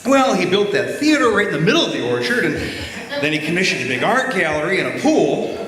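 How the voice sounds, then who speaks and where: A man's voice: sits high, big pitch swings, fairly quick, crowd noise. A man playing an anxious, deferential royal lackey in readers' theater, hesitant and pleading, in an echoey hall. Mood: earnest, pleading. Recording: very echoey hall, faint room noise.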